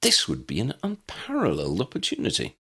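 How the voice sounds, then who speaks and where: A man voicing a polite, wordy wizard gentleman in a storybook, formal, precise and deliberate. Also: fairly low pitch, wildly swooping pitch, creaky, slightly rough, pitched above the performer's own voice, exaggerated voice. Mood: approving. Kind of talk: storybook character acting.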